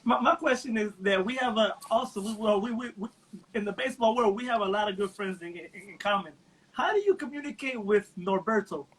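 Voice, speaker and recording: A man's voice: sits high, lively intonation, brisk, slightly rough, poor audio. A middle-aged man, born in Cuba and raised in America, talking baseball on a live chat. He speaks with emphasis and often repeats words as he starts a thought. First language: English. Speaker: Cuban baseball blogger. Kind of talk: casual chat on a livestream.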